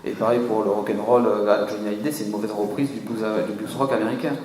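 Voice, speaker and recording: A man answering questions at a conference, often pausing to search for his words. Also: fairly low pitch, steady tone, darkish tone. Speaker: conference speaker. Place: conference hall. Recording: echoey hall with faint room noise.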